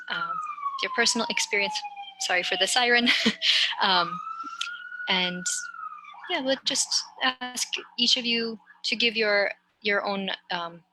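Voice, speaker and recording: A woman from New York, an adult education instructor, moderating a discussion in a relaxed, friendly way. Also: fairly high pitch, noisy background. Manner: relaxed, friendly, light fillers.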